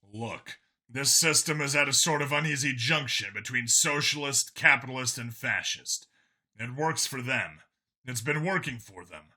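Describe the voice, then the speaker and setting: A man's voice: mid-range voice, lively intonation, normal speed, sharp tone. A man voicing an earnest, argumentative, world-weary male cop in an audiobook, arguing in long, insistent speeches. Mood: grave, insistent.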